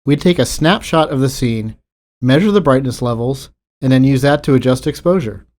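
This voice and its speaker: A man's voice: medium pitch, normal speed, darkish tone. A man delivering scripted technical commentary as a game developer.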